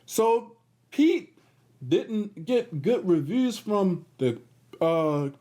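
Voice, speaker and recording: A man reads a children's picture book aloud in a high, very lively voice. He takes his time with the story and drops in casual, encouraging comments as he goes. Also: drawn-out words. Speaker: host of a children's read-aloud series.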